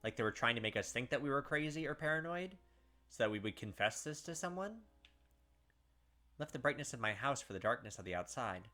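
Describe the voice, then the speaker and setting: A man's voice: medium pitch, animated, fairly quick, pushed and bright. A man hosting a let's play, reading the story aloud and chatting casually, with lots of filler words. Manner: chatty, filler-heavy with frequent "like". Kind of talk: casual game commentary and read-aloud.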